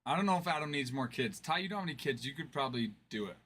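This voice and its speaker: A man's voice: mid-range voice, lively intonation, very fast, a bit bright, slight background noise. A man hosting a casual card-break livestream, joking around and laughing often.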